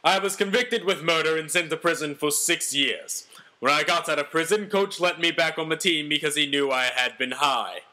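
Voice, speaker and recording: A man's voice: on the high side, normal speed, sharp tone. A man voicing a brash, self-important ex-convict quarterback telling his own story with melodramatic, boastful delivery.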